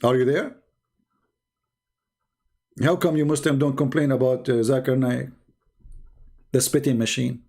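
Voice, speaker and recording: A man hosting a live call-in debate show, mocking and teasing callers in halting speech full of fillers. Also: mid-range voice, fairly even intonation, mellow, breathy edge.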